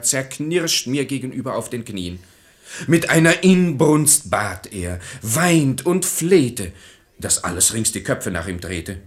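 Gullible, fervent master of the house, animated. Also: male voice, fairly low pitch. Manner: theatrical, fervent, emphatic. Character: gullible pious master of the house, obsessively devoted, stubborn. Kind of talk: German radio drama acting in verse.

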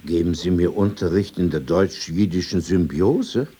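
A man's voice: sits low, normal speed, mellow, husky. An actor playing a world-weary, prickly old man in a radio drama, addressing someone formally with probing questions and sudden turns to reminiscence. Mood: dry, challenging. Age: older.

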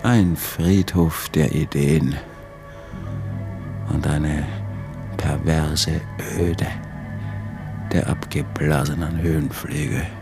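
An actor playing a bitter, obsessive man brooding haltingly over his failed life's work in a radio drama monologue, often dropping toward a whisper. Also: rumbling low, fairly even intonation, vocal fry, music in the background, heavily acted. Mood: scornful.

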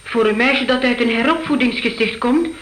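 Actress wondering young girl, bewildered, hesitant, fairly high voice, radio drama, noisy, roomy sound. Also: normal speed, a bit bright, close to an everyday voice. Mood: doubtful. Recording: echoey, noisy old radio recording.

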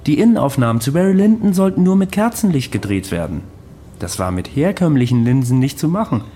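An actor in a radio play playing an insistent, knowing conspiracy believer who explains confidently. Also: male voice, medium pitch, very animated, fairly quick, mellow, slight background noise, lightly acted. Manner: confident, didactic.

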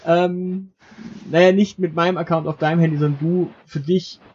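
A man with a somewhat high-pitched voice chats as a podcast co-host. He jokes and interrupts himself while working down a list. The sound is a bit muffled, with faint noise behind him. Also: fairly even intonation, normal speed, a little mellow. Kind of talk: casual banter, reading out a list of podcasts.

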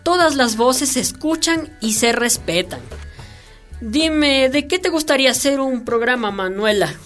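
An actress playing a principled, unflappable community radio coordinator, wry and firm, in a radio drama. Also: female voice, high-pitched, animated, unhurried, fairly bright tone, soft background music. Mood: welcoming, easygoing.

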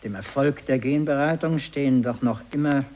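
An actor playing an earnest, stiff male doctor in a radio drama, husky and formal, slightly unsure. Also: mid-range voice, normal speed, a little mellow, lightly acted.

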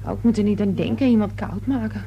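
A woman's voice: mid-range voice, fast, lo-fi sound, natural voice. A young woman playing a cheeky, plain-spoken maid in a radio thriller, talking in a colloquial way. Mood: flippant, flustered. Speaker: actress in a radio serial. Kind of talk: Dutch radio thriller acting. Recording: noisy old radio play with hum.

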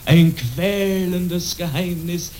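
A man narrating a radio play as a solemn, measured storyteller. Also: sits high, a little mellow, a little hiss or hum. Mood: grave, intense. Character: solemn male storyteller narrating a monk's legend.

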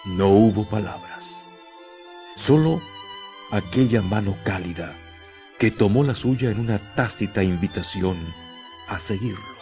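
A man narrating a scripted radio drama in a solemn, deliberate way, with dramatic pauses. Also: on the low side, lively intonation, warm tone, faint music behind.

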